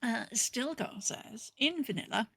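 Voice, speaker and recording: A woman co-host speaks in a casual podcast style, moving between chat and reading aloud. Her voice sits fairly high with plenty of creak at the edges. The sound is dry, with no room to it. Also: animated, unhurried, a bit bright, dry recording.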